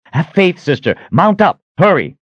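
A man's voice: mid-range voice, expressive, normal speed, no echo, dull audio, voice pushed up, close to an everyday voice. A man playing an urgent, determined hero of a fantasy audiobook, intense and insistent in manner. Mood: urgent, persuading.

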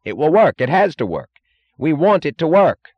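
A man's voice: fairly high pitch, big pitch swings, normal speed, no echo, highs cut off, voice pushed up. A man voicing an angry, edgy man who snaps and insists anxiously. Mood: angry, insistent.

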